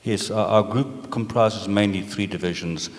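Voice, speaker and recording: Older man, South African accent, low voice, measured panel answers, hall reverb. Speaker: panelist, property and hotel businessman. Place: large indoor conference stage. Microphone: handheld microphone. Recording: reverberant conference hall sound.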